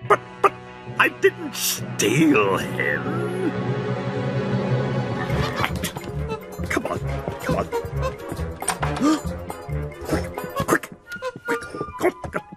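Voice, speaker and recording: A British man voicing a bumbling, childish cartoon character who mumbles nervously in halting bursts and exclamations. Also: very high-pitched, wildly swooping pitch, pushed and bright, soft background music, full character voice. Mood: flustered. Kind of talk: cartoon voice acting.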